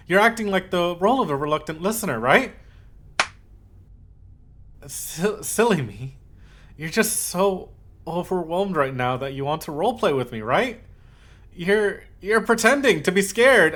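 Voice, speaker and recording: A man playing an obsessive, possessive, lovesick yandere in a scripted ASMR roleplay, speaking haltingly with cooed endearments. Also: sits high, animated. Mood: eager, insistent. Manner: halting, cooing endearments, swings from hushed to insistent.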